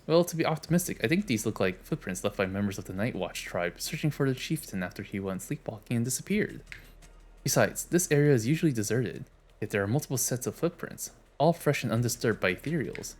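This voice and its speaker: A man's voice: mid-range voice, lively intonation, a little fast. A man streaming a game, casually reading its dialogue aloud with wry, offhand jokes.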